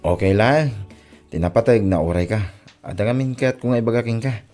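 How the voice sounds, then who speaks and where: A man's voice: fairly low pitch, normal speed, a little mellow, faint music behind, lightly acted. Man playing patient young Ilocano suitor. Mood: patient, courting. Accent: Ilocano Filipino. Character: patient young male suitor bringing treats. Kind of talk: Ilocano comedy drama acting.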